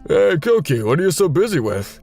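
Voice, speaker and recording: A man's voice: high voice, very animated, fairly quick, vocal fry, a little mellow, heavily acted. A man playing an easygoing adult relative with a casual, laid-back manner in a comic dub. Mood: curious. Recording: music bed behind the voice.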